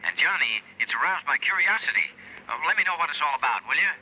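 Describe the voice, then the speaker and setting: A man's voice: fairly high pitch, animated, fast talker, bright, forceful tone, full character voice. An actor in a 1950s radio drama playing a friendly, curious night-desk newsman, chatty and informal. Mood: curious, apologetic. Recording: dull vintage radio recording, telephone effect.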